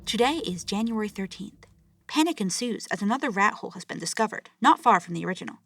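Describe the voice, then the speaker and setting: A woman's voice: medium pitch, expressive, fast talker, creaky, fairly bright tone, no echo. A woman playing a grave, formal news anchor delivering urgent bulletins in an audio drama. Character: grave female news anchor reporting a city's collapse.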